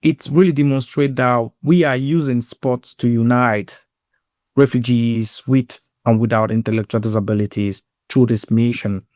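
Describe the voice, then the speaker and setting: A man with a Cameroonian accent speaks in a mid-pitched voice, recorded close and dry. He sounds earnest as he tells a personal story, often halting and repeating words as he goes. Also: fairly even intonation, darkish tone, dry recording. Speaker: guest, sports inclusion program lead.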